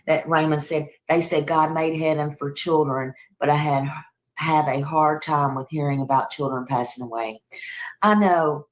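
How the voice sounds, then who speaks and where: Low-voiced woman chatting on a livestream. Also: steady tone, moderate pace, low-quality recording. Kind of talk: casual livestream chat.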